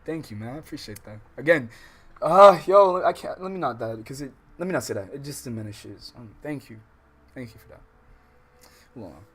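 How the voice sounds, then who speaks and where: A man's voice: mid-range voice, lively intonation, normal speed, husky. An American man hosting a casual let's-play livestream, reading story text aloud with stumbles and reacting in slangy, profane talk. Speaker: livestream host.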